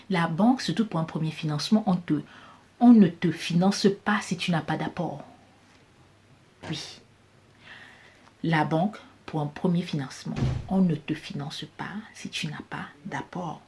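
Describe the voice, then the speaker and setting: A woman's voice: on the low side, normal speed, a little mellow. A woman with a Cameroonian French accent, giving an emphatic motivational business talk that repeats key phrases for stress. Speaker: business coach vlogger.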